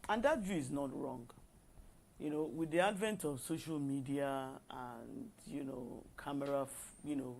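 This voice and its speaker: A woman co-hosting a talk show, speaking in a measured way, often pausing on "you know". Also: rumbling low, vocal fry, a little mellow. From Nigeria. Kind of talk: casual discussion on a talk show.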